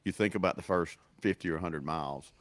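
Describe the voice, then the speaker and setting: Deep-voiced man in casual podcast chat. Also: fast talker, no echo. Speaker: podcast co-host.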